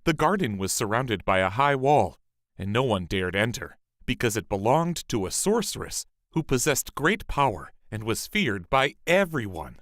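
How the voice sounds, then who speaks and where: Expressive male storyteller, very animated pitch. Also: no echo, studio quality. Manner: measured, expressive storybook delivery. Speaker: storyteller, narrator. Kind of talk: scripted fairy tale narration.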